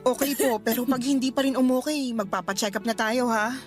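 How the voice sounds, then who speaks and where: A woman's voice: fairly high pitch, brisk, soft background music, close to an everyday voice. An actress playing a devoted young woman, polite, earnest and emotional, in a radio drama. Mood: worried. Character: devoted pregnant young woman, worried and tender. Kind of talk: Tagalog radio drama acting.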